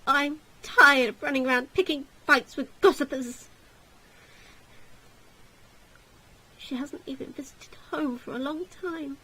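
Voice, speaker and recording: Woman playing a bitter, bright-voiced, hot-tempered young woman. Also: high-pitched, moderate pace, voice pushed up, poor audio. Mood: aggrieved. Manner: indignant, sarcastic, emphatic.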